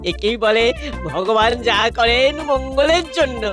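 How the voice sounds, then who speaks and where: Woman as a sly, animated young troublemaker. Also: fairly high pitch, unhurried. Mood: smug. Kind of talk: comic cartoon dialogue. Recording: loud music bed under the voice.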